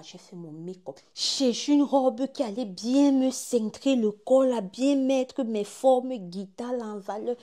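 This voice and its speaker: A Gabonese woman in her twenties with a Gabonese French accent, telling a personal story dramatically, dropping to hushed asides and rising to excited exclaims. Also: mid-range voice. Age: 20s. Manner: animated, swings from hushed asides to loud exclaims, repeats phrases.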